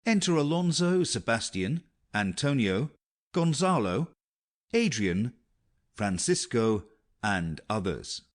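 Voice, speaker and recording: A man's voice: mid-range voice, big pitch swings, slow pace. A man narrating scripted stage directions and announcements for an audiobook.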